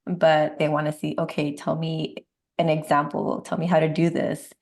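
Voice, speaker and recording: A woman hosting a podcast, asking questions and affirming her guest. Her voice is on the lower side with a gravelly fry. Her delivery is casual and encouraging. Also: little pitch movement, normal speed, darkish tone. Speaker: podcast host, dietitian.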